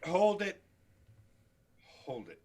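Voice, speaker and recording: A man giving casual, mocking commentary as a co-commentator, explaining things in a halting, dry way. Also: high voice, big pitch swings, a little mellow. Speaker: co-commentator on a book-club stream.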